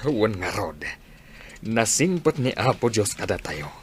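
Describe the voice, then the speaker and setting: An expressive older village man. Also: on the low side, unhurried, slight background noise. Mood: pleased. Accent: northern Philippine. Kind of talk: radio drama acting.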